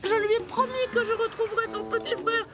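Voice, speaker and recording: Cartoon dub: anxious young bus character, extremely high voice, earnest and emotive, loud music underneath. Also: female voice, flat delivery, a little fast. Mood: worried, upset. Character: anxious, conscientious talking little bus. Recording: music behind the voice.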